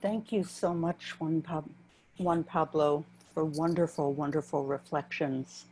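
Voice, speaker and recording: A woman moderates a panel over a video call, her voice low and mellow. She speaks slowly and gently, with a faint hum of room noise behind her. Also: steady tone, rough recording.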